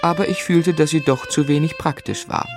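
A man's voice: on the high side, moderate pace, over music, natural voice. An actor playing an earnest, devoted young man who also narrates his story in a literary German radio drama. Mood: reflective narration.

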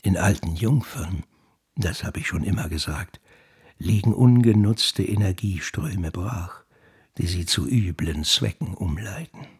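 Gravelly, raspy, proud novelist. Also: male voice, low voice, animated, measured pace, exaggerated voice. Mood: musing, sly. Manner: self-satisfied, biting, musing. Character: proud, caustic male novelist brooding over his critics. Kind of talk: radio play monologue.

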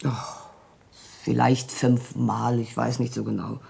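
A woman playing a distraught, evasive wife under police questioning in a radio play, speaking haltingly and nervously. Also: extremely low pitch, flat delivery, vocal fry, mellow, slightly rough, heavily acted. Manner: hesitant, halting, agitated. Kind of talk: German radio play acting, dramatic dialogue.

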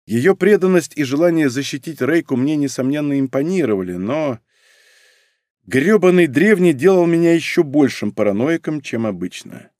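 A man narrating an audiobook in the first person, in a measured, wry reading. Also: a little high, expressive, darkish tone, no echo, studio quality.

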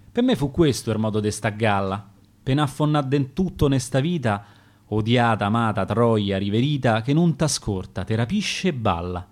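A man's voice: medium pitch, a little mellow. Man declaiming verse in Roman dialect. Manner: rhythmic, declaiming rhymed verse. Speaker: poet reading his own verse. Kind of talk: dialect poetry reading.

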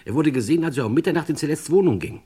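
An actor playing a frank, sardonic sidekick in an old-style crime radio play, speaking in a wry, theatrical way. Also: male voice, a little high, animated, very fast, mellow, slightly rough. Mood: grave, worried. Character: sardonic sidekick, frank and mock-respectful toward his boss. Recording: music behind the voice.

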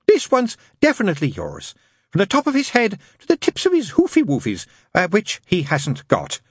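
A man's voice: very high voice, wildly swooping pitch, brisk, breathy edge, no room sound, clean studio sound, pitched above the performer's own voice, exaggerated voice. A man voicing a chatty, flustered, naively cheerful nun and nurse who gushes and witters eagerly, in an audiobook story. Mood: hurried reassurance.

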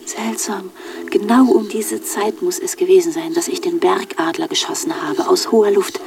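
An actress playing a proud, defiant hunter in a radio drama, brooding and dramatic, sometimes dropping towards a whisper. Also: female voice, mid-range voice, steady tone, normal speed, gravelly, a crowd in the background, natural voice. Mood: brooding, boastful. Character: proud, defiant hunter who shrugs off wounds. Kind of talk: theatrical radio drama dialogue.

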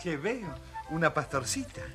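Un actor que interpreta a un joven pastor alegre y astuto, juguetón y burlón, en un cuento infantil. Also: male voice, a little high, big pitch swings, a little slow, music in the background. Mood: playful. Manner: playful, teasing. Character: cheerful, crafty young shepherd lad. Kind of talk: children's audio story acting.

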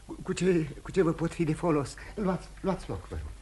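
An actor playing a remorseful, courteous, dissolute gentleman husband in theatrical radio drama style, candid and halting with repetitions. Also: a little high, big pitch swings, husky. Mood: courteous, uneasy. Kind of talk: mid-century Romanian radio theatre acting.

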